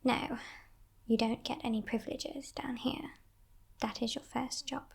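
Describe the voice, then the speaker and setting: Woman playing a breathy, whispering demoness. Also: on the high side, steady tone, full character voice. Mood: teasing, curious. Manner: teasing, condescending, softly sinister, unhurried. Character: playful, menacing female demon who kidnaps humans. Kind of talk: whispered ASMR roleplay.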